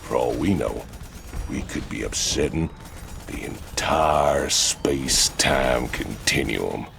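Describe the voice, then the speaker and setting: A man playing a big, rough space outlaw in a video game, gravelly, blunt and grumbling. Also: extremely low pitch, slow, a bit bright, exaggerated voice. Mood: uneasy, grumbling. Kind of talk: video game cutscene acting. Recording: clear ship ambience hum behind.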